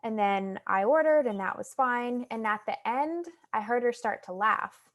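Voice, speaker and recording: A young woman with an American accent, a guest talking about her own experiences in a relaxed, chatty way with many fillers. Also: a little high, measured pace. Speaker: guest, stuttering advocate. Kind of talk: casual interview chat.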